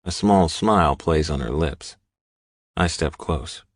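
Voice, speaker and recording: A man with a very low voice narrates gritty fiction in a deliberate, intense way. The sound is close and dry, as in an audiobook, and the storytelling is dramatic. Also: no echo.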